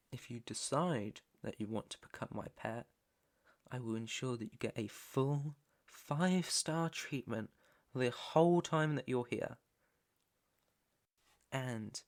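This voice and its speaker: A British man playing a controlling, possessive young mafia heir in an ASMR roleplay, deliberate and commanding, with menace under the coaxing. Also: medium pitch. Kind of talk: ASMR roleplay, second-person dramatic acting.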